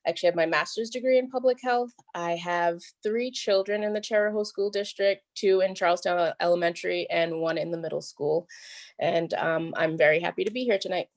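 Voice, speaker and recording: A woman's voice: lowish voice, brisk. A woman from Rhode Island, a parent, giving a short, friendly self-introduction at an online meeting. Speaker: parent and task force member.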